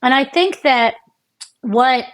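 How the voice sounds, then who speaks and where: Woman, American, middle-aged, neuroscientist guest, animated, fillers, restarts. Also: high voice, takes it slowly, clean tone. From United States. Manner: animated, many fillers and restarts, laughs often. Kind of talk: conversational interview explanation.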